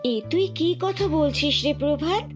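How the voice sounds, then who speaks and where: A woman voicing a scheming, sharp-tongued village stepmother in a cartoon. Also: high-pitched, measured pace, over music. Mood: scolding. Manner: scolding and lively. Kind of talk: cartoon voice acting.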